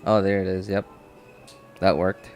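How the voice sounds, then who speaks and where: Low-voiced man thinking aloud. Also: flat delivery, soft background music. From Philippines. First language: Cebuano. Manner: halting, thinking aloud with many fillers and restarts. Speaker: game streamer. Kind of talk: casual live-stream chat.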